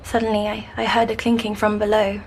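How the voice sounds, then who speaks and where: An actress playing a timid young girl troubled by nightmares in an audio drama, speaking hesitantly and softly. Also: medium pitch, monotone, a little hiss or hum, natural voice, rough recording. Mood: haunted, wondering. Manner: hesitant, halting, softly descriptive. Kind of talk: scripted audio drama acting.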